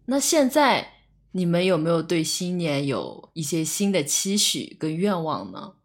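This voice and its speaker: A woman in a casual podcast chat, speaking haltingly and repeating words as she goes. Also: fairly low pitch, big pitch swings.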